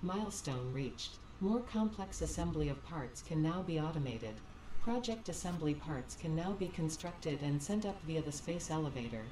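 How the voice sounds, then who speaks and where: A woman's voice: sits low, animated, moderate pace, background noise. A woman's voice reading a formal in-game milestone announcement. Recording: roomy, distant game audio.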